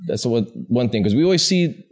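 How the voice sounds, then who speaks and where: A man hosting a casual interview, chatty and relaxed, often chiming in with agreement. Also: medium pitch, fast talker. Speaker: podcast host.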